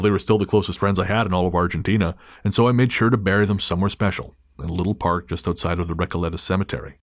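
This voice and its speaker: A man's voice: deep voice, no room sound. A man hosting a podcast, chatting casually and with dry humor, sometimes reading a listener's story aloud. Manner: wry, joking, hesitant restarts. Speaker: podcast host.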